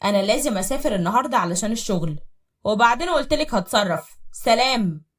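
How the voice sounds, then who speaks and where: A woman's voice: a little high, expressive, quick pace, distant mic, pitched above the performer's own voice, close to an everyday voice. A woman with an Egyptian accent voicing an angry, jealous husband who hurls harsh accusations. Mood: cold, curt. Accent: Egyptian Arabic. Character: angry jealous husband, middle-aged man.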